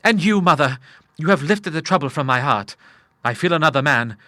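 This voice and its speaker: A man's voice: on the high side, lively intonation, fairly quick, pushed and bright, dry recording, studio quality, pitched above the performer's own voice. A man voicing an earnest, honourable grown son in an audiobook, speaking formally and with emphasis. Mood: grateful, sincere. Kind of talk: audiobook character voice.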